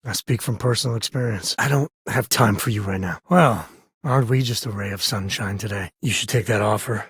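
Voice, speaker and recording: Husky, wry space cowboy. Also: male voice, on the low side, a little fast, no echo, clean studio sound. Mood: wry, teasing. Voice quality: husky, raspy. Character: wry, easygoing male space cowboy explorer, devoted dad. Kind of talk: video game character voice lines.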